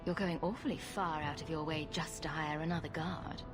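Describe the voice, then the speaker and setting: A woman's voice: deep voice, fairly even intonation, brisk, lightly acted. An actress playing a wry, sardonic female adventurer hero in a video game. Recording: game audio with long reverb and music.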